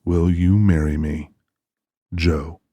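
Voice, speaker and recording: A man's voice: deep voice, steady tone, slow pace, warm tone, slightly rough, dry recording, heavily acted. An actor playing a dry, wry, plainspoken country man in an audiobook drama. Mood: tender. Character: Joe, dry, easygoing country man, anxious but decent.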